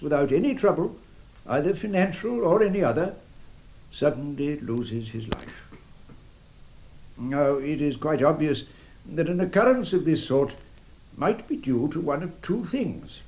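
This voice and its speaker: Slow, solemn English coroner. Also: male voice, a little high, a little mellow, low-quality recording. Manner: measured, formal, emphatic. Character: grave, deliberate male coroner summing up at an inquest. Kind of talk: British radio drama courtroom speech.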